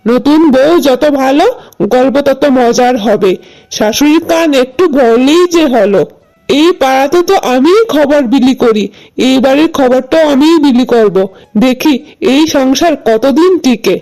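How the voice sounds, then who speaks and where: A very high-voiced cartoon busybody acted by a woman, scheming and gleeful. She speaks slyly, as if plotting to stir up trouble in a family next door. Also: a little slow, mellow, low-quality recording. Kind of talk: cartoon voice acting.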